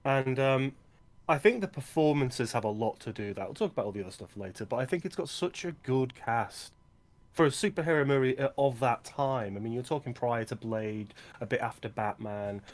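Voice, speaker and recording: A man's voice: mid-range voice, expressive, a little fast. A man co-hosting a film podcast, talking with enthusiasm and stammering on his restarts. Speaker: podcast co-host. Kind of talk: casual film discussion.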